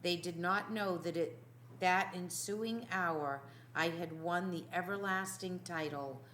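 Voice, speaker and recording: Female audiobook narrator, lowish voice, unhurried solemn dramatic storytelling, some background noise. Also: fairly bright tone. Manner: solemn, deliberate. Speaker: audiobook reader.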